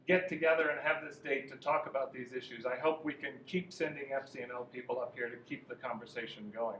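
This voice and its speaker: A man in his sixties giving an informal talk to an audience in a university classroom, conversational and animated, with some restarts. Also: medium pitch. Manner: conversational, gestures while talking, some restarts. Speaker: guest speaker, lobbyist. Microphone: far camera, no mic. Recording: echoey classroom from far off, faint noise.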